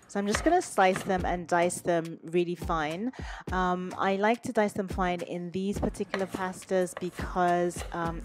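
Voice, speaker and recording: A woman hosting a cooking video, calmly talking through each step in a voice-over. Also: fairly low pitch, background noise. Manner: relaxed step-by-step instructions. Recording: voice-over with music underneath. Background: background music.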